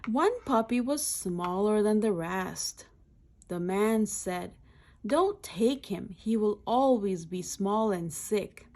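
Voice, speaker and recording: A woman's voice: medium pitch, expressive, takes it slowly, a little mellow. A woman reading a children's picture book aloud in short, simple phrases, adding explanatory asides.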